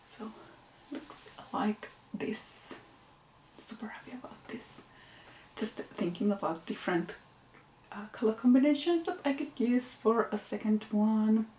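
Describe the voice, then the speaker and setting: A woman's voice: a little high, measured pace, warm tone, roomy sound, whispered, rough recording. A Finnish woman with a Finnish accent, a knitting podcast host, chatting casually with frequent fillers and self-corrections. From Finland. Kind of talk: casual show-and-tell chat.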